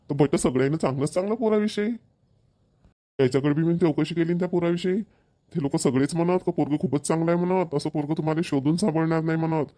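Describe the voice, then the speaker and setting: A man's voice: on the high side, dark tone, close to an everyday voice. A man voicing an earnest village father in a homemade cartoon, speaking colloquial, emphatic, repetitive Marathi with a Vidarbha accent. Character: earnest village father arranging his daughter's marriage.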